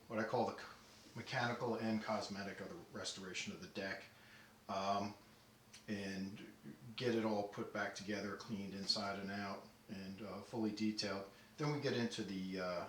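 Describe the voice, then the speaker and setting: Low-voiced man, roomy distant recording. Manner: halting, methodical explaining. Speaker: audio deck restorer demonstrating work. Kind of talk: unscripted technical walkthrough. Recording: roomy, distant mic, faint noise.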